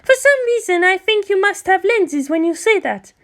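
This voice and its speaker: A woman's voice: extremely high pitch, lively intonation, moderate pace, fairly bright tone, voice pushed up. A woman voicing a stern, blunt female monk teacher in a storybook reading. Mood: stern, suspicious.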